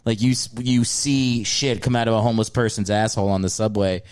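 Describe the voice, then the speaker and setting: A man's voice: on the low side, steady tone, fairly quick, darkish tone, clear, resonant tone. A man hosting a casual comedy podcast, rambling with restarts and defending himself as his friends tease him. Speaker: podcast host.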